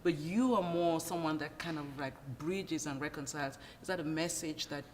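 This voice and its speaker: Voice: mid-range voice, moderate pace, a little hiss or hum. A curious interviewer host puts casual questions to a guest and laughs easily. The voice carries a long reverb tail over faint background ambience.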